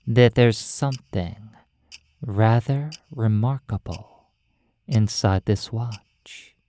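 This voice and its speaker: A hypnotist guiding a sleep induction in a soothing, measured voice with deliberate pauses. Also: mid-range voice, warm tone, no echo. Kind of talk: slow hypnosis sleep induction, guided imagery. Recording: faint ticking clicks behind dry close voice. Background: ticking pocket watch.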